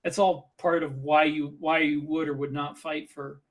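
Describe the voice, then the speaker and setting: Higher-pitched man arguing points in casual discussion. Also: level tone, moderate pace. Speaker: podcast co-host and author.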